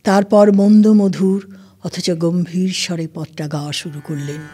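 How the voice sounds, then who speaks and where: A woman's voice: on the low side, fairly even intonation, unhurried, dark tone, husky. A woman reading scripted story narration as the narrator, with plucked string music behind her. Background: plucked string instrument music.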